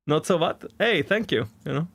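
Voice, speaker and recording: A man's voice: on the high side, vocal fry, fairly bright tone. A young man streaming a game, chatting playfully and joking in mock French. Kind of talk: casual game stream chat.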